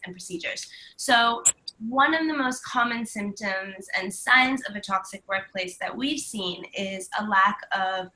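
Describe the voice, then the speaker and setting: A woman's voice: mid-range voice, bright, forceful tone, slight background noise, low-quality recording. A woman co-hosting a live stream from home, upbeat and chatty with lots of ums, sounding stuffy from a cold. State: stuffy from flu. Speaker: co-host.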